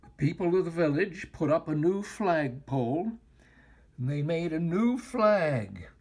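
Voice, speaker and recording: An older man reading a children's story aloud as a storyteller, with theatrical, expressive and deliberate phrasing. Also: a little high, breathy edge.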